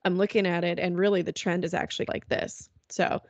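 A woman hosting a podcast, chatting in a relaxed way and laughing often. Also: lowish voice, fairly quick, vocal fry, no echo. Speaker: podcast host. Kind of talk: casual podcast chat.

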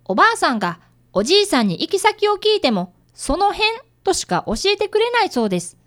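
A woman's voice: very high-pitched, sing-song, a little fast, fairly bright tone, exaggerated voice. A woman playing an earnest, very polite schoolboy apprentice detective who narrates a radio drama. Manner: very polite, formal speech.

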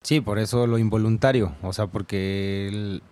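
A man in a casual discussion, chatty and quick to interject. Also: on the low side, fairly even intonation, a little mellow. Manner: chatty, interjects and apologizes playfully.

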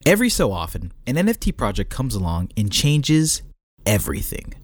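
A man's voice: on the low side, wildly swooping pitch, moderate pace, no echo, studio quality. A man reading a scripted article aloud as a narrator, with a tongue-in-cheek manner.

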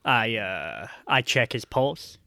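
A man's voice: on the low side, very animated, slow, creaky, sharp tone, no room sound, studio quality. A man describing his character's actions in casual, hesitant role-play chat. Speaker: player.

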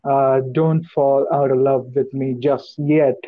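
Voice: medium pitch, steady tone, takes it slowly, narrow, tinny audio. A co-host of a live online show, introducing a guest with effusive praise and many fillers, over a video call.

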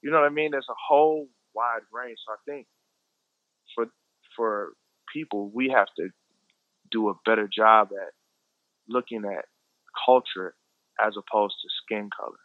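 A man's voice: fairly low pitch, a little slow, pauses often, no echo. American man talking emphatically, dull dry recording. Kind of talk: casual conversational discussion, explaining at length.

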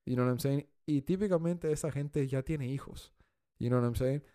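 A man's voice: medium pitch, normal speed, mellow. A man from Austin whose first language is Spanish, chatting casually and switching between Spanish and English. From Austin, Texas. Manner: mixes Spanish and English constantly, says "you know what I'm saying". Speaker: podcast guest. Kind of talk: casual chat.

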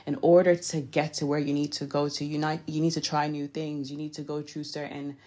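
Low-voiced Nigerian woman giving pep talk. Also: little pitch movement, a little fast, dark tone. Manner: earnest and direct, occasional laughs and self-corrections. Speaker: podcast host. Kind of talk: casual motivational talk.

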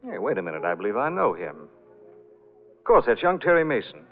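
An actor playing a suave, level-headed gentleman in old-time radio drama style, courteous and inquisitive. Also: medium pitch, huge pitch swings, a little fast, music in the background. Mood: friendly, surprised. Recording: narrow, tinny vintage radio recording.

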